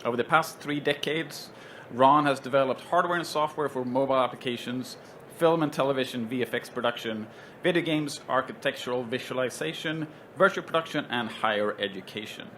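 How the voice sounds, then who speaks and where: A man from Sweden with a Swedish accent, moderating a trade show panel in a relaxed, joking way with lots of ums and uhs. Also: medium pitch, a little fast, slight background noise. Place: trade show stage with stands around.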